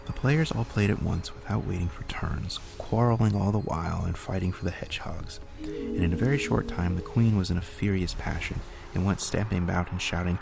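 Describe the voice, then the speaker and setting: A man reading a bedtime story aloud in steady, unhurried narration. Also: sits low, creaky. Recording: soft music underneath.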